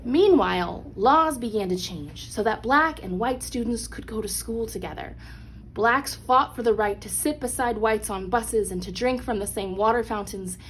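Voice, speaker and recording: A woman's voice: fairly high pitch, moderate pace, rough recording. A woman reading a children's picture book aloud as a storyteller, expressively and with dramatic emphasis on key phrases. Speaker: read-along storyteller. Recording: clear background noise.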